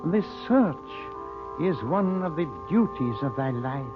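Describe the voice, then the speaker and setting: An actor playing an ancient, wise spiritual teacher in an old radio drama, speaking in archaic, ceremonious phrases. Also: male voice, on the high side, animated, dull audio. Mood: commanding. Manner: archaic, ceremonious, measured speech. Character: ancient wise mystic teacher, solemn and commanding. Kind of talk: 1930s-40s radio drama acting. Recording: band-limited old radio recording with music.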